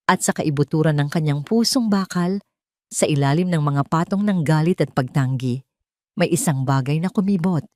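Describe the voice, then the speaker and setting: A woman's voice: on the low side, warm tone, no room sound, studio quality. A woman with a Filipino accent reading scripted, dramatic romance narration as an audio story narrator.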